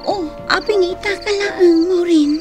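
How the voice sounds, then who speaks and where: A woman's voice: very high-pitched, measured pace, loud music behind the voice. A woman with a Filipino accent playing a nosy, teasing young office confidante in a radio drama. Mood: curious.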